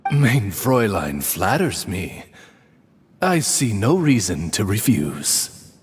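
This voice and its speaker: A dignified talking raven servant from an anime game. He speaks slowly in a lowish, lilting, very polite voice, ringing with long hall reverb. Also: big pitch swings, faint music behind. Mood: composed, courteous. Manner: formal, polite, measured.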